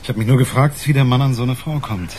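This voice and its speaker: An actor playing a gruff, sceptical homicide detective with a brisk, grumbling manner in a German radio crime play. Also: male voice, mid-range voice, darkish tone, slight background noise, natural voice. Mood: businesslike.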